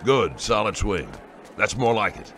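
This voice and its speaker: A man's voice: lowish voice, animated, measured pace, sharp tone, faint music behind. An actor playing a middle-aged soldier and father in an audiobook drama, blunt and commanding but loving. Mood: stern. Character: gruff older soldier father, stern but loving husband.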